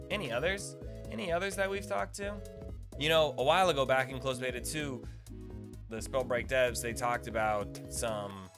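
A man in his thirties streams a video game with casual, jokey commentary. His voice is mid-pitched and close on the mic, full of slang, with music clearly playing behind him. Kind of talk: casual livestream gameplay commentary. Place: small indoor room, streaming setup. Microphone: close desk mic on boom arm.

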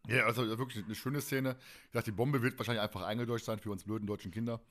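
A man's voice: mid-range voice, steady tone, fast talker, a bit bright. A man chatting casually as a podcast co-host, retelling things in colloquial language.

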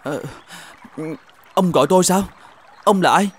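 Humble, higher-voiced cartoon farmer. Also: male voice, unhurried, a little hiss or hum. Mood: polite. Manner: earnest, polite, slightly naive. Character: big humble, good-natured male peasant farmer. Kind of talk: animated fairy tale dialogue. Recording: music behind the voice.

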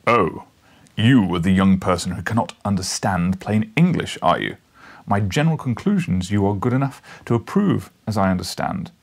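A man voicing a pompous, menacing, bullish professor with a booming, rumbling voice for a storybook. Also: animated, moderate pace, creaky. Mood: insolent, sarcastic. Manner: pompous, sarcastic, forceful. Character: huge bellowing insolent bullish professor, menacing bully.

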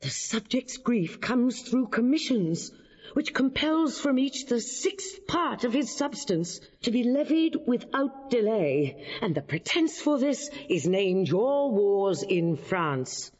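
An earnest, dignified queen in a classical audio drama. Her highish voice pleads boldly in verse, ringing through a long hall reverb. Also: very animated, slow, a little mellow, muffled recording, exaggerated voice. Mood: firm, grave.

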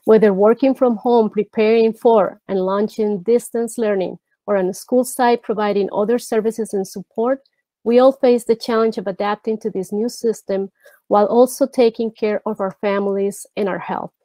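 A middle-aged woman chairing a school board meeting from home over a video call, speaking earnestly with halting restarts. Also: mid-range voice, fairly even intonation, dark tone, no room sound. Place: home room with brick wall. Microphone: earbud headset on video call.

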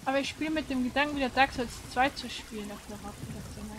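A woman with a high voice chats casually while keeping a player company during a game. Faint noise sits in the background. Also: fast talker. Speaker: companion chatting alongside the player. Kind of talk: casual chat during gameplay.

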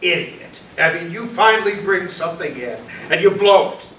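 Bullying older police chief, acted by a man, animated and sharp, impatient; stage reading in echoey room. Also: high voice, normal speed. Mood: exasperated. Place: small hall, staged reading before an audience. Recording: echoey room from far off, faint noise.

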